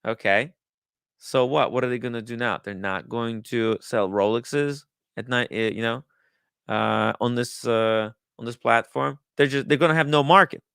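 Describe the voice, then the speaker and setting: A man hosting a livestream in casual talk, haltingly thinking aloud as he goes. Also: medium pitch, creaky, a bit bright, clean tone, no echo, studio quality. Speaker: livestream host. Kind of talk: casual livestream chat, reading viewer comments and riffing.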